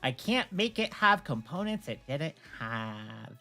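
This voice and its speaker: A woman's voice: deep voice, slow pace, faint music behind. A woman chatting casually on a livestream, telling stories in a blunt, opinionated way. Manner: opinionated, blunt, animated storytelling with swearing. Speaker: streamer, host.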